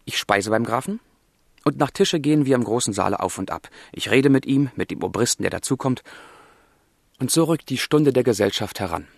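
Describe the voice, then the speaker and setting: A man's voice: mid-range voice, lively intonation, fairly quick, gravelly, darkish tone, dry recording, studio quality. An actor playing a passionate, melancholy young man in love, speaking earnest literary German radio drama lines. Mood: bitter, agitated. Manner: earnest, literary, brooding, emotional.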